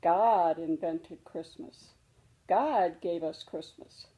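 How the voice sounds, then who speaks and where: Woman as a slow, gentle storybook mother bear. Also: on the low side. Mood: reassuring. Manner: measured, reassuring explaining. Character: gentle, patient mother bear, reassuring her cub. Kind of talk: children's storybook read-aloud.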